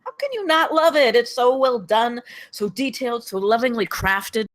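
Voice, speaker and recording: A woman's voice: fairly high pitch, expressive, normal speed, close to an everyday voice. A woman at a script table read, playing an earnest, somewhat weary and defensive former government man. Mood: enthusiastic.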